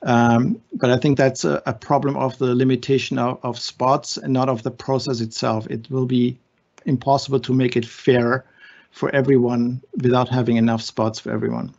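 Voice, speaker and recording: A man's voice: mid-range voice, little pitch movement, moderate pace, creaky, darkish tone. A man in his sixties, born in Germany and raised in Brazil, answering seminar questions from his home study over a video call, thoughtful and hesitant with many restarts. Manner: thoughtful, with frequent fillers and restarts. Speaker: physician guest speaker. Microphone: video call webcam. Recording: muffled video call audio.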